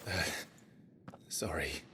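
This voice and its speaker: An actor with a British accent playing a haunted, earnest young ex-soldier in a video game, speaking in a grave, restrained way. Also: male voice, extremely slow, noisy background. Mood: hesitant. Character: brooding, haunted young male ex-soldier, soft-spoken and earnest. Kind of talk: video game cutscene acting.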